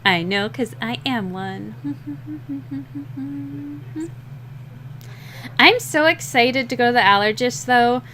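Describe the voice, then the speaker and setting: Tired young woman chatting while she draws, kinda rough and scratchy, high-ish voice, laughs at herself a lot. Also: very slow, bright, forceful tone. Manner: rambling, giggly, self-deprecating. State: sleep-deprived. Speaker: digital artist streaming a drawing session. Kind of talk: casual chat while drawing.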